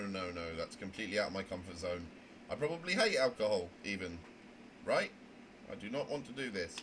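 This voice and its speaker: A man acts out an anxious young trainee mediator in a visual novel, indignant and earnest. The voice sits fairly low and stammers when flustered. It is a home recording with noticeable noise. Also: close to an everyday voice. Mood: anxious, defiant. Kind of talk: amateur voice acting of a visual novel.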